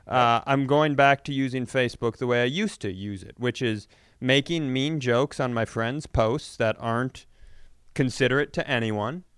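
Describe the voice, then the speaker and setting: A man with a middle-pitched, clean and smooth voice hosts a comedy podcast. He tells casual, joking stories with animated profanity, recorded dry with little room sound. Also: moderate pace, no room sound.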